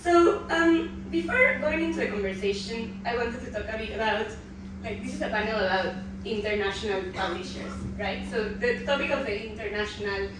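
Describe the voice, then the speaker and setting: A woman in her thirties from Peru introduces a live panel. She sounds a bit nervous and halting, with frequent ums and restarts. She is heard from a distance in a very echoey room over steady background noise. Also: a little high. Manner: hesitant, many ums and restarts. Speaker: panel moderator.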